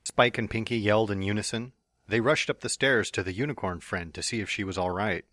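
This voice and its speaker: Low-voiced male narrator, plain and even, dry close sound. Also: a little fast, dry recording. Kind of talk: fan dramatic reading of a story.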